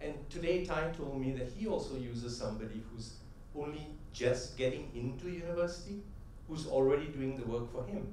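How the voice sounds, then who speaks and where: A man's voice: medium pitch, fairly quick, hardly any pauses, mellow, a little hiss or hum. A middle-aged man giving a conversational conference talk full of anecdotes, often tagging his points with "right?" and building to rhythmic, emphatic punchlines. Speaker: convention speaker. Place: large convention hall. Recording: echoey hall, voice from a distant mic.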